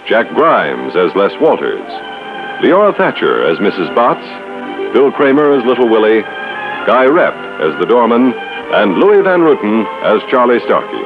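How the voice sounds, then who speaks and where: A man's voice: on the low side, sing-song, measured pace, music in the background. A man announcing in polished, emphatic 1960s network radio style. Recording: tinny old broadcast recording.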